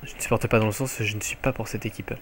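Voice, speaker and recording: A young man with a French accent giving live commentary on his own online match from home, excitable and jokey, swearing often. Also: lowish voice, very fast, slight background noise. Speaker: gaming commentator. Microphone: headset mic.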